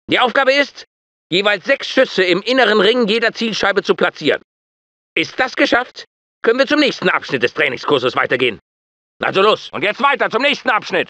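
High-pitched, commanding military drill sergeant. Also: male voice, a bit bright, no room sound. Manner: commanding, clipped, insulting. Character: hard-barking, insulting military drill sergeant, adult man. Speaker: drill instructor. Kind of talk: video game voice acting, German dub.

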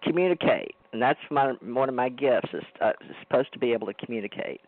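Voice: medium pitch, expressive, quick pace, dry recording, phone-quality sound. A man hosting a casual talk show, rambling and interrupting himself as he asks a guest questions. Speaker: host.

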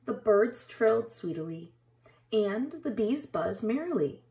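A woman reading a children's picture book aloud in an expressive, animated storytelling manner, like a teacher. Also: mid-range voice, slow pace.

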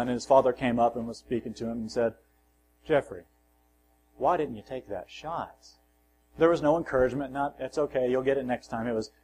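A man preaching a sermon in a church, measured and plain, swelling on scripture and dropping softly at the ends of phrases, with self-deprecating asides. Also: medium pitch, a little hiss or hum, low-quality recording. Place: church sanctuary.

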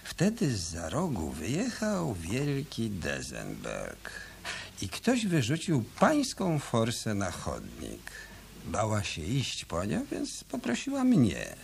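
Cocky streetwise tough guy, gravelly and slow, swings up and down, hissy old tape. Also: fairly low pitch, wildly swooping pitch, full character voice. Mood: cocky storytelling. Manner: brash, cocky, slangy. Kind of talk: 1990s radio drama acting.

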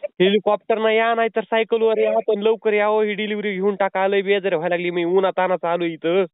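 A man, a fairly high and clear voice, talks quickly. He is posing as a delivery man on a prank call, pleading and insisting, and the line sounds thin and tinny, like a phone call. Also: flat delivery, no room sound.

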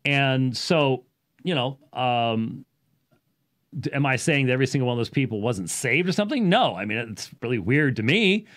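A man in his fifties speaks in a medium-pitched voice, explaining things off the cuff like a teacher hosting a talk show. His delivery is deliberate and sometimes halting, with weight placed on the important words. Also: animated, fairly bright tone. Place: small indoor study with bookshelves. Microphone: studio microphone on boom arm, close.

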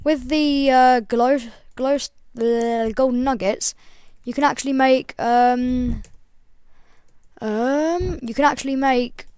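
A chatty young woman with a croaky voice talks through a game update, rambling and filling pauses with ums. Her voice sits fairly high, and the recording is dry and close. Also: no room sound. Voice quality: slightly croaky. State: croaky, voice breaking. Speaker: gaming channel host. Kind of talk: casual game tutorial voice-over.

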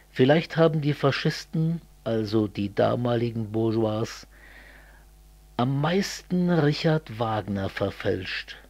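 A middle-aged man from East Germany speaking in a scripted political dialogue, didactic and deliberate. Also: medium pitch, gravelly, a little mellow, breathy edge, no room sound.

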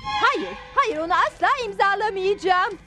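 A woman's voice: very high-pitched, lively intonation, moderate pace. A woman voicing a feisty, melodramatic young heiress in distress in a dubbed cartoon. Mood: stubborn refusal. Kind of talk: Turkish-dubbed cartoon acting. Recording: loud music under the voice.

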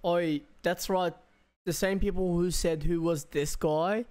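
A man's voice: high-pitched, fairly even intonation, unhurried, over loud music. A young man chatting casually as a reaction video host, rambling with slang and swearing. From Australia. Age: young adult. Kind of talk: casual chat, reaction commentary. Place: small bedroom, indoors. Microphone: close desk microphone.

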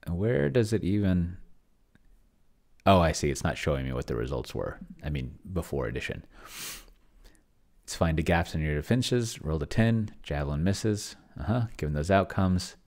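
A middle-aged man giving casual screencast commentary, rambling and musing to himself as he reads along. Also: low voice. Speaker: host playing solo tabletop roleplaying with a chatbot. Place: small cluttered indoor room.

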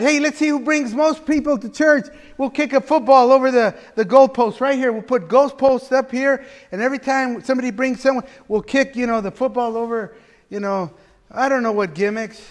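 High-voiced man preaching in a reverberant church. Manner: animated, gesturing preacher with asides and playful humor. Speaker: pastor. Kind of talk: sermon. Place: large church sanctuary, preaching from a pulpit. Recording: long reverb of a church hall.

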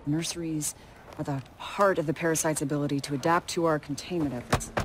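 An actress playing a serious, resolute special forces commander in a video game cutscene, with a clipped, authoritative delivery. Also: female voice, deep voice, fairly even intonation, a little fast, noisy background, lightly acted. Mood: grave, commanding. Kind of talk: cinematic video game dialogue. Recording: loud music under the voice.

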